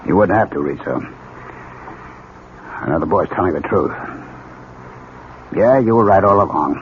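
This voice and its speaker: An actor playing an assured, plain-spoken, paternal police lieutenant in a 1970s radio drama. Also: male voice, low voice, lively intonation, normal speed. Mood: assured, triumphant. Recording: dull, noisy old radio recording.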